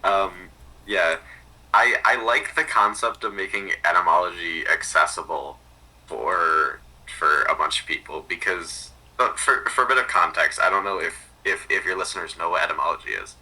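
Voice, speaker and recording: A man chatting casually as a podcast guest, halting and repeating words as he explains things. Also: low voice, sharp tone, husky, low-quality recording. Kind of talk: casual chat.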